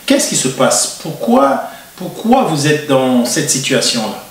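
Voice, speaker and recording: A man hosting a TV interview show, speaking in a measured way with frequent hesitations. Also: mid-range voice, big pitch swings, slight background noise. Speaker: TV show host. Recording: roomy echo, distant mic.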